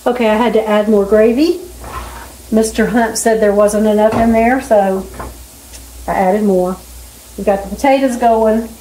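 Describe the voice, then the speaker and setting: A middle-aged or older woman chatting casually in a folksy, unhurried way as she vlogs at home. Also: medium pitch, steady tone, warm tone. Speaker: home vlogger. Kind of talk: casual chat while cooking. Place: home kitchen, indoors. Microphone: camera a few feet away. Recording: roomy kitchen with sizzling pan noise. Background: frying and sizzling food.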